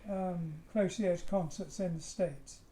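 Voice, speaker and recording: A man, a little hoarse today, explaining his painting as he works in a casual live demo, with soft, dry, understated replies. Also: high-pitched, fairly even intonation, moderate pace. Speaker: artist demonstrating painting.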